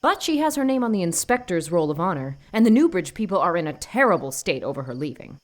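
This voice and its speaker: A woman's voice: lowish voice, big pitch swings, fast. A woman playing a stiff, opinionated married village gossip, disapproving and emphatic, in an audiobook. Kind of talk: audiobook character acting.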